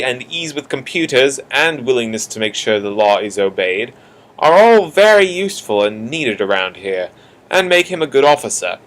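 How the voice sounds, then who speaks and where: A man's voice: medium pitch, fairly bright tone, natural voice, low-quality recording. A man playing an earnest announcer who narrates a radio drama in a theatrical, emphatic way, with a put-on Australian accent. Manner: theatrical, emphatic, with drawn-out key words. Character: earnest male announcer introducing a city police force.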